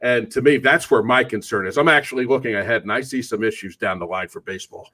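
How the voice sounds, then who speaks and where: A man hosts a sports talk show with a mid-pitched voice. He delivers commentary in a measured, orderly manner, turning directly to his co-host as he moves things along. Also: pushed and bright.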